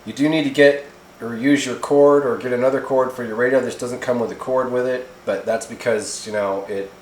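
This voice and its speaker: The voice is a man's, medium in pitch, recorded in an echoey room with faint noise behind it. He hosts a casual product demo without a script. His talk is halting and rambles along in a folksy way. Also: fairly even intonation. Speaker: video host reviewing radio gear. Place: indoors in a home kitchen.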